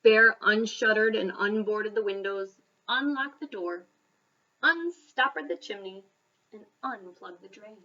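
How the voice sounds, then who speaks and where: A woman reading a children's story aloud as a school reader, expressive, with dramatic emphasis and pauses. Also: mid-range voice, recorded from a distance, highs cut off.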